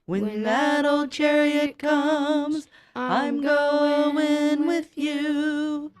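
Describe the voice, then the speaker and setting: A woman sings a gospel spiritual as a signal acted by a female student. Her voice is high and extremely slow, with faint music, in a school radio drama. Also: flat delivery. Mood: solemn, calling.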